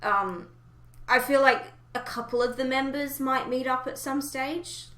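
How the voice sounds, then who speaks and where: A woman talks casually in a bright, forward voice, offering speculative opinions with emphasis. The recording is roomy and echoey, like a podcast made in a reverberant room. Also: high voice, measured pace. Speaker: podcast co-host. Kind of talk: casual fan discussion.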